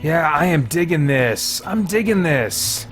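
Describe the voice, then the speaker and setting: A man's voice: fairly high pitch, animated, unhurried, music in the background. A man reviewing a video game, giving casual, conversational commentary and thinking aloud as he plays. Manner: conversational, thinking aloud, mild slangy exclamations.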